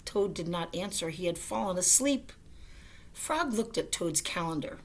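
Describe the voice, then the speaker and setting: A woman reading a children's story aloud in a gentle storytelling style. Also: lowish voice, lively intonation, moderate pace. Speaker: storybook reader.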